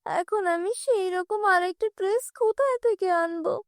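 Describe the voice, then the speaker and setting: Kind, downtrodden cartoon heroine, voiced by a woman. Very high, plaintive young voice, humble, dry sound. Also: moderate pace, no room sound. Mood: worried. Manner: plaintive, earnest pleading. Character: meek, mistreated young stepdaughter, kind and pleading. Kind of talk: cartoon fairy tale character acting.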